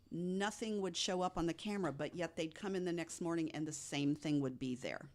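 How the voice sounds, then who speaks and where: A woman co-hosting a podcast, telling a ghost story conversationally, step by step with dramatic pauses. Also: fairly low pitch. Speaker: podcast guest co-host.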